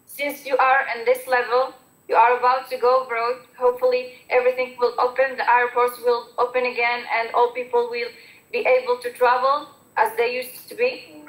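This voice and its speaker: A young woman with an Algerian accent gives earnest, encouraging advice over a video call, often pausing on fillers and restarting her sentences. Her voice sits high. The call audio is roomy and echoey. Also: little pitch movement, a bit bright. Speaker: former exchange student giving advice. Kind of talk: online panel talk.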